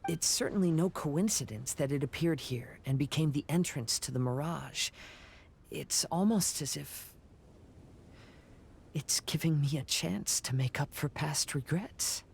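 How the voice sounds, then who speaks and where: An actor playing a calm young wandering samurai in a video game, speaking softly in a measured, contemplative way. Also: male voice, a little high, vocal fry, a little hiss or hum. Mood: wistful, solemn. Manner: soft-spoken, contemplative, measured. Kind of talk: scripted video game dialogue.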